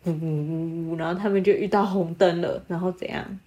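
A woman improvising a bedtime story with a child, animated and prompting with questions. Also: lowish voice, a little fast. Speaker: storyteller, podcast host.

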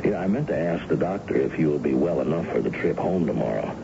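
A man playing a gentle, worried middle-aged American father with a gravelly voice and coaxing, repetitive way, in a radio drama. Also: a bass voice, animated, fast talker, exaggerated voice. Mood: tender, worried. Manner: coaxing, repeats his words. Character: gentle, worried father soothing his grown daughter. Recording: muffled, very noisy old radio recording.

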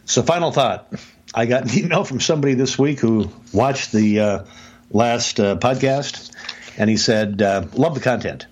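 A man's voice: mid-range voice, normal speed, slight background noise. A man hosting a podcast, giving emphatic business advice in a conversational way and often saying "I mean.". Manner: emphatic, animated, repeats "I mean". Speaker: podcast host, business speaker.

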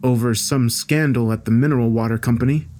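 A man voicing an intimidated junior journalist in an audio story, soft-spoken, placating and quietly exasperated. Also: medium pitch, steady tone, brisk, faint music behind, close to an everyday voice. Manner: hesitant, soft-spoken, pleading. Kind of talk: dramatised audio story dialogue.

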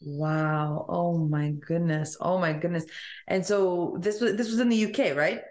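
Gushing, lower-voiced female podcast host. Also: steady tone, unhurried, darkish tone. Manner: effusive, gushing. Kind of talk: casual interview.

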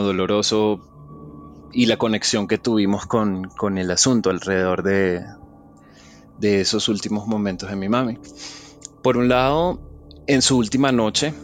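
A man tells a personal story in a soft, reflective, halting way. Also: lowish voice. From Venezuela.

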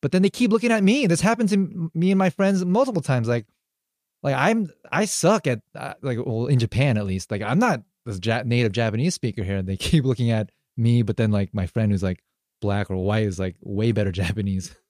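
A man's voice: medium pitch, brisk, a little mellow, no room sound, studio quality. A man co-hosting a casual discussion, speaking reflectively about experiences abroad. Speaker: podcast co-host. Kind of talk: casual chat.

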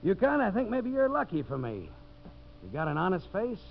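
A brash, scheming timber promoter from a 1950s radio play. His pitch swings widely as he sells and gives orders with slick authority. The recording is dull, with a faint hiss. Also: wildly swooping pitch, normal speed, vocal fry, exaggerated voice. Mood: brisk, confident. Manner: commanding, persuasive salesman patter. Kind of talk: 1950s radio drama acting.

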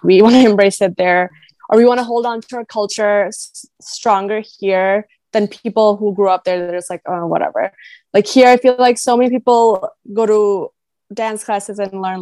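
A young woman with an American accent chats loosely as a podcast guest, filling her talk with "like" and playing out what others told her. Her voice sits at an ordinary middle pitch. The recording is close and dry, with no room sound. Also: a little mellow, dry recording. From United States. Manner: chatty, lots of "like", acts out quoted people. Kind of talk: casual conversation.

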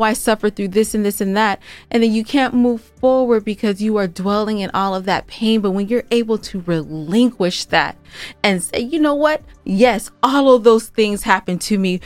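A woman's voice: medium pitch, clear, resonant tone, no echo. A woman co-hosting a podcast in casual conversation, speaking expressively and with emphasis. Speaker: podcast co-host and audio drama writer.

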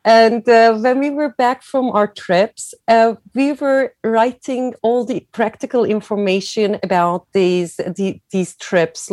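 A woman from Turkey with a Turkish accent, answering interview questions in halting non-native English, searching for words. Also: medium pitch, a little mellow, dry recording. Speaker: podcast guest, cycling industry professional.

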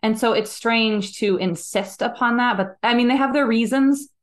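A woman's voice: on the high side, moderate pace. A woman guest on a podcast, explaining her views in a thoughtful, casual way. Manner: thoughtful, explanatory, frequent "like" fillers. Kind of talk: casual conversational interview.

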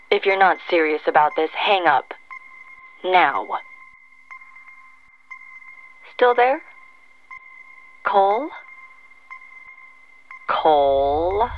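A woman's voice: medium pitch, lo-fi sound, a little hiss or hum. An actress playing an eerie, teasing woman who speaks as a secret agency's answering machine in an audio drama. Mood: cool, taunting. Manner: slow, taunting, breaks into singsong.

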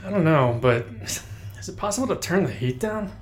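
A man's voice: on the high side, lively intonation, a little fast, lightly acted. A man playing a nervous, hesitant middle-aged man in an audio drama. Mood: uneasy.

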